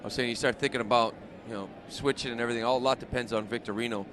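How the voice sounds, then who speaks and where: Very fast-talking male baseball analyst. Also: medium pitch. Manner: colloquial, explanatory, emphatic advice. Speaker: radio baseball color analyst. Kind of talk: conversational sports analysis. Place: ballpark broadcast position. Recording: noisy outdoor stadium ambience. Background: steady outdoor stadium noise.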